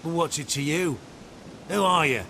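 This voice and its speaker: A man's voice: moderate pace, vocal fry, pushed and bright. An actor playing a surly, contemptuous military commander who hunts witches in a fantasy game, with a brusque, scornful delivery. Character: surly, foul-mouthed male witch-hunter commander, contemptuous and hard. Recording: game audio with rumbling ambient noise.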